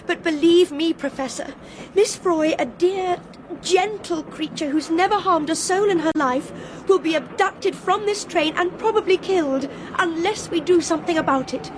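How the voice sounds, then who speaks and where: A woman's voice: very high-pitched, moderate pace. An actress playing a determined, impassioned young woman traveller in a radio drama, urgent and insistent. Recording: clear background train noise.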